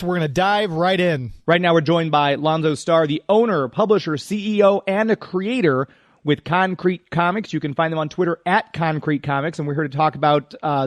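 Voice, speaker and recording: A man's voice: high voice, dry recording, clean studio sound. A man hosting an upbeat radio interview, talking with a guest as the show's presenter. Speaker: radio show host and interviewer.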